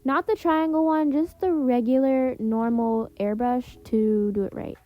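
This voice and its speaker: Higher-pitched woman chatting through a tutorial. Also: steady tone, unhurried, no echo, lo-fi sound. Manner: informal, chatty, addresses viewers directly. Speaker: tutorial host, content creator. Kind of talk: casual step-by-step digital art tutorial voiceover. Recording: soft music behind the voice. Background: background music.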